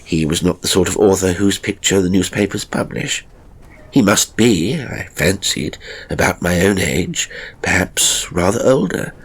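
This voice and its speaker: A man narrating a literary ghost story in the first person, with measured, dramatic phrasing and deliberate pauses. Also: low-pitched, lively intonation, breathy edge. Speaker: audiobook narrator. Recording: music behind the voice. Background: music.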